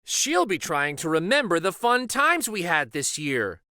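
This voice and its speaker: Earnest young storybook schoolkid, played by a male narrator: bright, excitable, swooping melody. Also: sits high, measured pace, dry recording, studio quality, full character voice.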